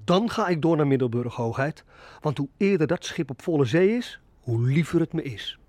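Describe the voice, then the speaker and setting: A man's voice: on the high side, expressive, moderate pace, darkish tone, no echo. An actor playing a wary slave-ship trader in a historical radio play, formal and skeptical before his prince. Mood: resolute. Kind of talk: audio drama acting.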